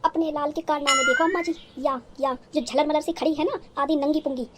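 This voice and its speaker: A woman's voice: high-pitched, steady tone, fairly quick, heavy background noise, lightly acted. A woman playing a naive, dramatic, talkative young village bride in a cartoon, with a rural North Indian accent and a rambling, guileless manner. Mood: indignant. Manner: rambling, guileless, theatrically indignant. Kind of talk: cartoon story dialogue in rustic dialect.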